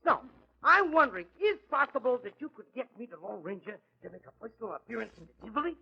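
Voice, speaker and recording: Extremely high-pitched, haggling showman. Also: very animated, fast talker, vocal fry, full character voice. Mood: wheedling. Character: haggling, fast-talking small cinema owner. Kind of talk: 1940s radio comedy acting. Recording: muffled vintage radio recording.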